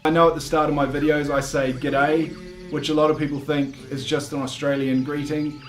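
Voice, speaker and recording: Deadpan New Zealand man, fairly high. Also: faint music behind. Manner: halting, full of "um"s, deadpan. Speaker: comedic video host. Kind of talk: deadpan comedy monologue.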